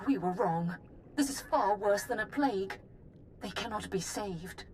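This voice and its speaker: Game casting: actress as earnest mystic healer, formal log delivery, mid-pitched, slight background noise. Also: female voice, lively intonation, unhurried, darkish tone, natural voice. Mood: grave, fearful. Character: solemn female Jedi healer, hopeful turning fearful. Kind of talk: recorded journal in a video game. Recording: faint noisy ambience.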